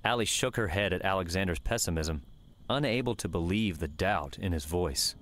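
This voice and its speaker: A man's voice: deep voice, normal speed, fairly bright tone. A man reading scripted fiction as the narrator of an audiobook.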